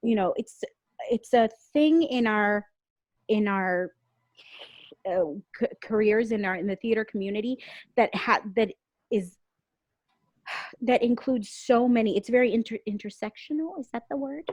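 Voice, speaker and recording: A woman from Puerto Rico, a guest on a podcast and an actor, telling personal stories candidly, animated and emotional, acting out the voices she quotes. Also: a little high, unhurried, pauses often, no room sound. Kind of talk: candid conversational storytelling.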